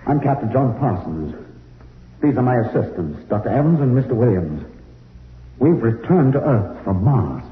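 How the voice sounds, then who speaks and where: An actor playing a brisk, wary male spaceship captain in a radio drama, giving clipped, authoritative orders. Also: mid-range voice, very animated, lightly acted. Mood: formal. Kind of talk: old-time radio drama acting. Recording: tinny noisy old radio, roomy echo.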